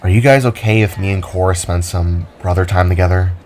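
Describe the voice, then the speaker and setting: A man's voice: low-pitched, fairly even intonation, a little fast, darkish tone, faint music behind, voice pushed down, close to an everyday voice. A man voicing a friendly young male hero who talks casually in a homemade audio drama.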